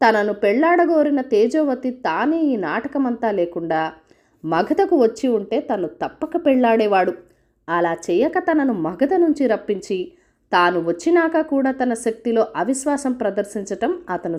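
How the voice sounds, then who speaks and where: A woman's voice: a little high, animated, normal speed, a little mellow. A woman reading a scripted folk tale as a storyteller.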